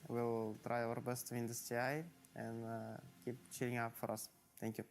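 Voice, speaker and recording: A man's voice: medium pitch, monotone, moderate pace. A man, a professional player, speaking plainly and hesitantly in an interview. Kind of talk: post-match player interview.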